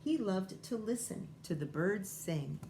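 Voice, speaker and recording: Lady teacher doing a kids read aloud, medium pitch, wondering out loud, noise in the background. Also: expressive, a little slow. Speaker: schoolteacher reading aloud. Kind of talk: children's picture book read-aloud with informal commentary.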